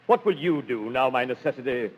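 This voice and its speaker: An actor playing a loyal, defiant seafarer in 1930s radio Shakespeare, with a husky voice and impassioned, declamatory delivery. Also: male voice, mid-range voice, animated, fairly quick. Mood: resigned urgency. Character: Antonio, loyal sea rescuer, bitterly betrayed and defiant. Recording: muffled old 1930s radio broadcast.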